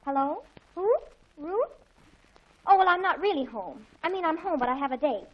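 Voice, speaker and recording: A gushy american teen daughter from a 40s radio sitcom, high pitched, super animated, everything is a crisis. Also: female voice, moderate pace, dull audio, noisy background. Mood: haughty, boastful. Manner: gushing, melodramatic, emphatic. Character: excitable, dramatic teenage daughter in a family comedy. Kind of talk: 1940s radio sitcom acting.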